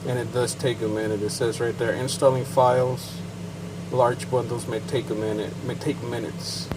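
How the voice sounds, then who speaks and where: A man's voice: mid-range voice, level tone, normal speed, background noise. A man with a Chicano Mexican-American accent, bilingual, casually walking through a technical setup as a certified technician, rambling with fillers and asides. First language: bilingual English and Spanish. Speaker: certified payment terminal technician. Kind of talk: casual how-to demonstration.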